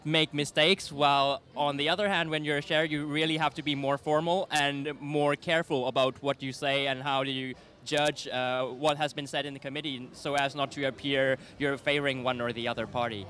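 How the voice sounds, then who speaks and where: A man's voice: medium pitch, little pitch movement, normal speed, hardly any pauses, bright, forceful tone. A young man from Thailand, an interview guest speaking fluently and upbeat. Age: young adult.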